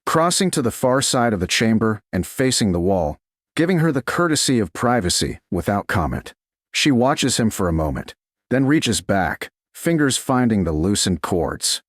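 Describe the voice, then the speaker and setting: Low-voiced male romance narrator. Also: big pitch swings, dry recording, clean studio sound. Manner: slow, soft, deliberate storytelling. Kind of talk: scripted audiobook narration of a romance.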